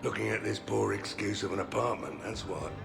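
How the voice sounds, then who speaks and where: A very gravelly, grumbling mercenary. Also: male voice, fairly low pitch, fairly even intonation, brisk, over music. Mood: sardonic, grumbling. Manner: blunt, sardonic, grumbling. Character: gruff, suspicious, grizzled male mercenary. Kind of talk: video game character acting.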